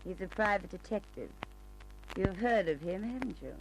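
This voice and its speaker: A woman's voice: mid-range voice, expressive, normal speed, background noise, close to an everyday voice. Woman acting coy, flirty radio crime dame. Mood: taunting. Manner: coy, teasing. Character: flirty, suspicious, tipsy underworld dame. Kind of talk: old-time radio crime drama acting. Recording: old radio recording with music.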